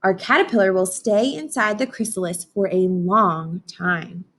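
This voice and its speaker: A woman reading a children's book aloud like a teacher, expressive and instructive. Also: medium pitch. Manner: expressive, instructive, with dramatic pauses and hushed asides. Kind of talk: children's read-aloud with explanations and questions to listeners.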